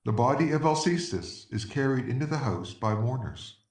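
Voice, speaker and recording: A man's voice: mid-range voice, animated, normal speed, darkish tone. A man reading stage directions as scripted narration for a play. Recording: echoey room, distant microphone.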